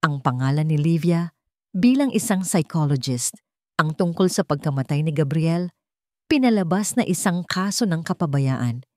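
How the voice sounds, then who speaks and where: A woman reads a dramatic scripted story in a somewhat low voice. Her delivery is measured, with pauses for weight. The sound is dry, with very little room in it. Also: expressive, a little mellow, no echo. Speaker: story narrator.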